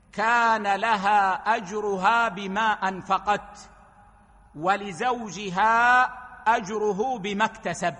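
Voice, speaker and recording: A man giving a religious lesson on jurisprudence, explaining in a measured way and slowing down when he quotes texts. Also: sits high, sharp tone. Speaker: Islamic scholar giving a lesson. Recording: long hall reverb.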